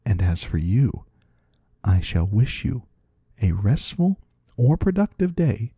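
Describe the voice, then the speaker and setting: ASMR roleplay casting: man as soothing, mysterious male trader from the void, very dark husky tone, formal and courteous. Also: fairly low pitch, big pitch swings, takes it slowly, dry recording, clean studio sound, heavily acted. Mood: soothing, grateful. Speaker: ASMR performer. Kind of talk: ASMR roleplay, soft-spoken.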